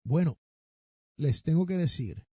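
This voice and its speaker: A man's voice: a little high, expressive, a little slow, warm tone, breathy edge, no room sound, clean studio sound. A man with a Puerto Rican accent hosting a podcast, giving advice emphatically and straight to the listener, with some English terms mixed in. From Puerto Rico. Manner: emphatic, rhetorical questions, addresses listeners directly. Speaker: personal finance podcast host.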